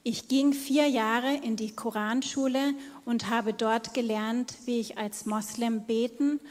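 Woman, slightly high voice, reading a testimony aloud in long hall reverb. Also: fairly even intonation, slow, darkish tone. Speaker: youth care worker reading another's testimony. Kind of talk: reading a prepared personal story aloud.

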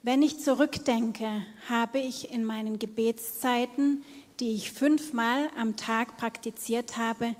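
A woman's voice: on the high side, steady tone, slow pace, darkish tone. A woman presenting and reading a prepared personal story aloud to others. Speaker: youth care worker reading another's testimony. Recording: long hall reverb.

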